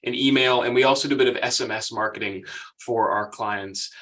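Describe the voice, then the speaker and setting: A young American man runs a webinar in a chatty, upbeat way and laughs easily. His voice is bright and forward at a middle pitch. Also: steady tone, fairly quick. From United States. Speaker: guest presenter. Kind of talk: webinar presentation.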